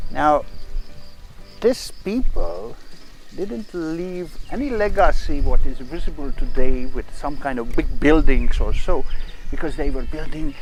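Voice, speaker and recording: A man with a foreign accent and non-native English, presenting a rambling, halting mock-documentary lecture. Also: fairly high pitch, a little mellow, poor audio. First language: not English.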